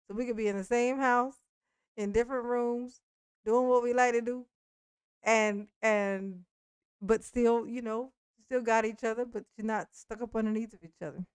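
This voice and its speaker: A woman's voice: on the high side, steady tone, normal speed, clear, resonant tone, no echo. A woman in her forties chatting casually on a live stream, speaking bluntly and profanely with frequent restarts and laughs. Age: 40s.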